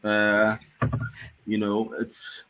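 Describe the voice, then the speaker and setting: A man's voice: fairly low pitch, completely flat delivery, very slow, sharp tone, low-quality recording. A man chairing an academic conference session on a video call, chatting casually with many fillers and restarts.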